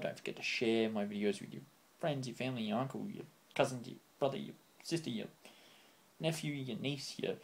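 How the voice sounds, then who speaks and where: A young man signing off his vlog, rambling off the cuff and earnest, his voice getting a bit croaky. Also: mid-range voice, a little mellow. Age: young adult. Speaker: channel host. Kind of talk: unscripted vlog sign-off. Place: small room indoors, on a couch.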